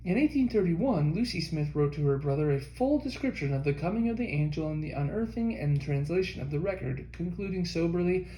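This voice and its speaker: A man reading a history book aloud as an audiobook narrator, in a measured scripted delivery. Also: a little high, expressive, warm tone, echoey room, a little hiss or hum, poor audio. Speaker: audiobook reader.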